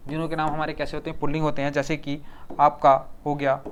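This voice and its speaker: A man's voice: fairly high pitch, completely flat delivery, fast, a little hiss or hum. A man with a Chhattisgarh Hindi accent teaching a grammar lesson for an exam, repeating words and often checking that the class follows.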